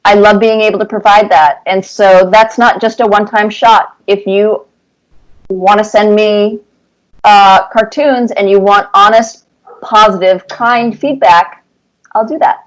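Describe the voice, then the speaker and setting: A woman's voice: mid-range voice, fairly even intonation, a little slow, low-quality recording. A woman in her fifties giving casual, thoughtful interview answers as a guest, with little anecdotes. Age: 50s. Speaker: cartoonist guest being interviewed.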